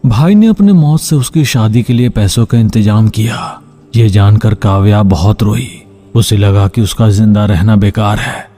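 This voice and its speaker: Mellow man narrating a cartoon tale. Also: fairly low pitch, a little slow. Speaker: story narrator. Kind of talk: scripted story narration for a cartoon.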